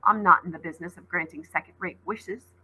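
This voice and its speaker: A woman's voice: lowish voice, a little fast, bright, forceful tone, husky, heavily acted, rough recording. A woman voicing a sinister, boyish supernatural trickster in a storybook, taunting and smug in a theatrical way. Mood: coaxing, menacing.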